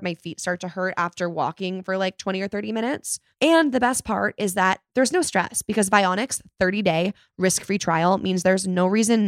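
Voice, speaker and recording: A woman's voice: on the low side, a bit bright, no room sound, studio quality. A woman podcast host delivering a conversational sponsor read in a personal, first-person way.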